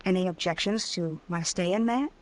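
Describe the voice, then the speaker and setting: An actress playing a bold, worldly frontier drifter with a coy, needling manner, in 1950s radio western style. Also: female voice, fairly low pitch, moderate pace, lightly acted. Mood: coy, challenging. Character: bold, worldly woman drifter, an old flame.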